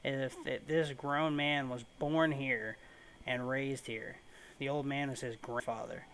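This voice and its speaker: A man of medium pitch commentates casually on a video game. He rambles informally, like a host chatting as he plays. Faint game ambience runs behind his voice. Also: steady tone, unhurried, fairly bright tone, slight background noise. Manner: rambling, informal, mild swearing. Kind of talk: casual let's play commentary.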